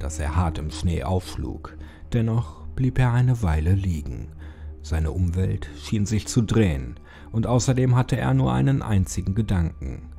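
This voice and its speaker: A man's voice: on the low side, creaky, warm tone. A man narrating a scripted horror story in a dramatic audiobook style. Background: background music.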